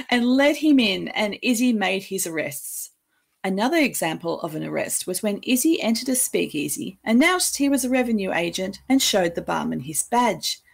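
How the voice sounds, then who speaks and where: Woman, podcast host, playful storyteller, lively pitch, asks the listener questions. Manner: playful, laughs at her own story.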